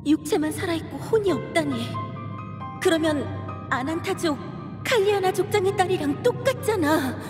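A woman's voice: very high voice, expressive, moderate pace. A woman playing a spirited, forthright teenage elf girl with a direct, urgent manner in a video game cutscene. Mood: anxious. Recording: echoey hall reverb, music underneath.

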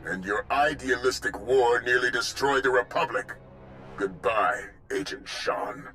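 An actor playing a stern, hardened older military commander in a video game, grim and forceful. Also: male voice, medium pitch, a little slow, faint music behind. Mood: bitter. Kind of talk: video game cutscene acting.

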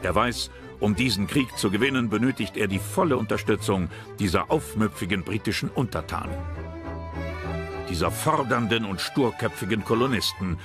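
A man narrates a documentary in a fairly low voice. His delivery is scripted storytelling, with clear music running underneath. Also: normal speed. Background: background music.

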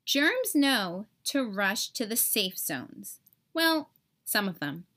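A woman reading a children's storybook aloud in an expressive, deliberate way with dramatic emphasis. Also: medium pitch.

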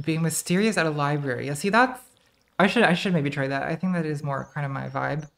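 A woman in her thirties with a very soft, quiet voice, chatting casually to her live-stream chat while playing a game, self-deprecating and laughing often. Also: deep voice, brisk, vocal fry. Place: home streaming room, indoors.

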